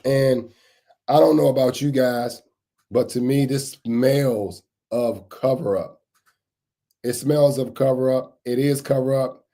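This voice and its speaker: A man hosting a casual live stream, his voice a bit raspy as he gets over a cold, greeting chat viewers by name and repeating points for emphasis. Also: mid-range voice, steady tone, slow, mellow. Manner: repetitive, emphatic phrasing. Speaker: livestream host on security news.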